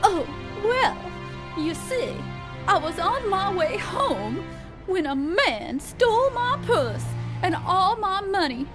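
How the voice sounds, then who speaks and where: Distressed wife, plaintive, apologetic, very high pitch, swooping intonation, audio drama, music behind. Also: female voice, sing-song, slow pace, full character voice. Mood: pleading. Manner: plaintive, hesitant.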